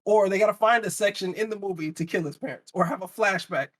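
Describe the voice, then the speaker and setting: Higher-pitched man, emphatic panel talker. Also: fast talker, a bit bright. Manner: emphatic, argumentative, rhetorical questions. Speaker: podcast panelist. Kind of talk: casual panel discussion.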